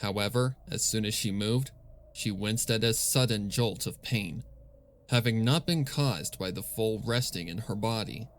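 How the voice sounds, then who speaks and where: Low-pitched male story narrator. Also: measured pace, slight background noise. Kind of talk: scripted fan fiction narration.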